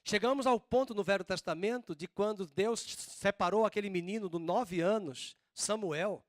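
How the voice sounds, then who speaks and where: A man preaching a sermon as pastor, with a drawn-out Northeastern Brazilian accent and slow, emphatic stresses. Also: sits high, no room sound, studio quality. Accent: Northeastern Brazilian Portuguese.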